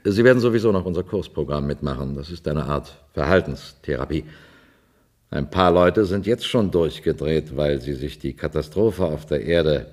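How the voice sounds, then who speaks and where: An actor playing a businesslike, coolly cynical space-station official in a 1970s German science-fiction radio drama. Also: male voice, rumbling low, moderate pace, a little mellow. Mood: coolly matter-of-fact.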